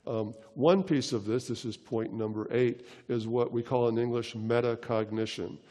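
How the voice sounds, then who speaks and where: An older man speaks on a large conference stage through a headset mic, lecturing at a measured pace and filling pauses with ums and uhs. His voice is mellow and of middling pitch. A long reverb from the hall trails his words. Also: fairly even intonation. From United States. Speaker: keynote speaker. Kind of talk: conference keynote talk.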